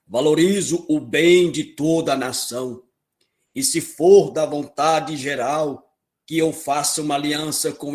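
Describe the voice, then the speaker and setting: A man acts a stately king, formal and conciliatory before his council. His voice sits a little high and he declaims very slowly, in epic audio drama style.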